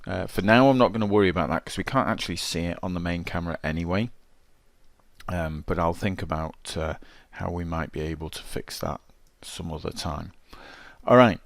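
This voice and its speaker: Male tutorial presenter, very low register, dry close sound, informal and thinking aloud. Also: vocal fry, no room sound. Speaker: game development tutorial presenter. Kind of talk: casual screencast walkthrough, thinking aloud.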